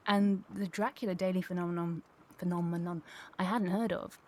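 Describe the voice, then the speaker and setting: A woman's voice: lowish voice, fairly even intonation, fairly quick, slight background noise. A woman talking enthusiastically and a little digressively in a conversational panel interview. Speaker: voice actor and tutor.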